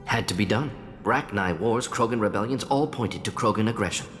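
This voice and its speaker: A man's voice: lowish voice, brisk, fairly bright tone, close to an everyday voice. A man acting as a brilliant, defensive and remorseful alien scientist in a video game, speaking in clipped, analytical fragments that drop small words. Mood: earnest, insistent. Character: fast-thinking alien scientist doctor, brilliant, defensive, remorseful male Salarian. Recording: long reverb with music underneath.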